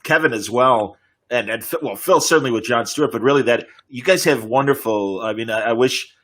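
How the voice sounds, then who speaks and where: A man's voice: medium pitch, a little fast, fairly bright tone. Appreciative male panel moderator. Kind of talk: casual panel hosting.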